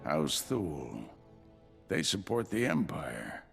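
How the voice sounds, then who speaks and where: An actor playing a wry, commanding older Jedi master in a sci-fi video game. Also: male voice, a bass voice, very animated, takes it slowly, creaky, fairly bright tone, husky, soft background music, exaggerated voice. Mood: grave, commanding. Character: gruff, wry older male Jedi master, protective mentor.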